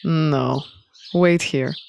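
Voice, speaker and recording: Lady doing a snooty talking tomcat from a visual novel, terse n deadpan, lowish creaky voice. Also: very slow, lo-fi sound, a little hiss or hum, full character voice. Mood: insistent. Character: dry, superior male talking cat, mysterious magic user.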